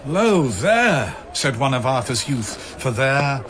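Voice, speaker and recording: A male narrator with a mid-pitched voice delivers scripted archaic verse slowly and solemnly. The phrasing is measured and literary, with clear noise behind him. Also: sing-song, a bit bright.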